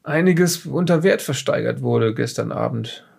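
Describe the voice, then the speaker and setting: A man playing an evasive middle-aged professor with a shady past, halting and rambling, in an improvised audio drama interrogation. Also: mid-range voice, expressive, creaky, darkish tone, slightly rough, heavily acted. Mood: earnest, justifying. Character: evasive forty-year-old professor with a safecracker past.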